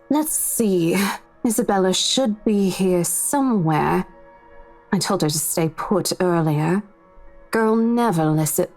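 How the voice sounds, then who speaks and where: A woman's voice: mid-range voice, darkish tone, natural voice. An actress playing a courteous, soothing female real estate agent in a visual novel.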